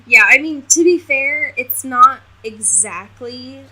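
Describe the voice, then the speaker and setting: A woman with a high, very bright voice co-hosts a casual recap podcast. She speaks at an unhurried pace, with plenty of slang, swearing and emphasis. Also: lively intonation, low-quality recording. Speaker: podcast co-host. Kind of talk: casual book-recap chat.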